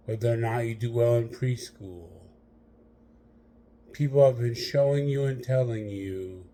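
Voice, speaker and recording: A man guides a meditation in a mellow, relaxed voice. He takes his time, setting out short, measured phrases with long pauses between them. The pitch sits in a middle male register, and he addresses the listener directly.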